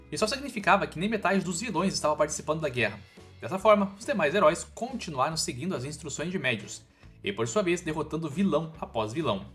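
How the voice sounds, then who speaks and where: A man's voice: a little high, a bit bright. A man with a Brazilian accent narrating a scripted story recap in a colloquial, informal way with casual asides. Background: background music.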